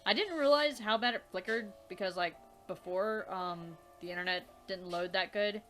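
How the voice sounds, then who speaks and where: A woman's voice: mid-range voice, normal speed, a bit bright, faint music behind. A woman hosting a let's play, reading the game's narration aloud and commenting with exasperated swearing and laughter.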